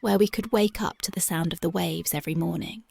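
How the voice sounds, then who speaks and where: A woman voicing a tough but devoted young punk girlfriend in an ASMR roleplay, talking softly and intimately close to the mic, often dropping to a whisper. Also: fairly low pitch, fairly quick, vocal fry, breathy edge, dry recording. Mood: tender, dreamy. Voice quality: soft, intimate, partly whispered. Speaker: voice actress.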